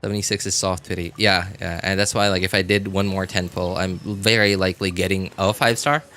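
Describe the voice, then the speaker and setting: A man chatting casually as he streams a game, rambling and halting as he hunts for words in a self-deprecating way. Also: low voice, flat delivery, sharp tone. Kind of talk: casual livestream chat while gaming.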